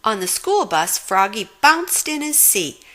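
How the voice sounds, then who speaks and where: A woman's voice: mid-range voice, sing-song, measured pace, bright, forceful tone, a little hiss or hum. A woman reading a children's storybook aloud expressively, giving dramatic emphasis to the sound words. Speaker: storybook reader.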